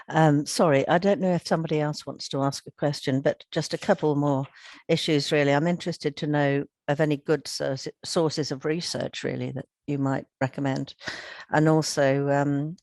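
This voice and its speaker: A woman in her sixties, slightly croaky, asking a chatty question with easy laughter in an online session. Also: deep voice, steady tone, moderate pace, darkish tone, dry recording, clean studio sound. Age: 60s. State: slightly croaky, recovering from illness. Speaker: workshop participant. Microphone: video call.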